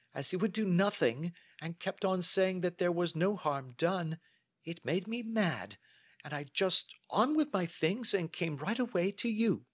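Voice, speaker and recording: A man's voice: high-pitched, husky, dry recording, clean studio sound, voice pushed up, heavily acted. A man voicing an anxious, chatty young female client in an audiobook, with a flustered, rambling manner. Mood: indignant. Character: anxious, good-humored, chatty large young woman client. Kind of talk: audiobook character dialogue.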